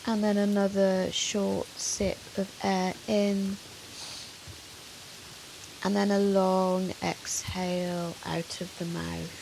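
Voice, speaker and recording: A woman yoga teacher leading a guided meditation in a soothing, measured, gentle manner with long pauses. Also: mid-range voice, little pitch movement, creaky, low-quality recording. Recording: soft hiss of rain noise. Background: soft rain sound.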